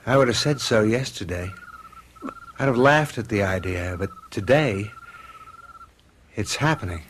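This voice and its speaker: An actor playing a baffled, troubled husband who tries to stay reasonable, talking earnestly and haltingly in a radio drama. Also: male voice, lowish voice, animated. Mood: grave, baffled. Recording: noisy vintage radio drama tape.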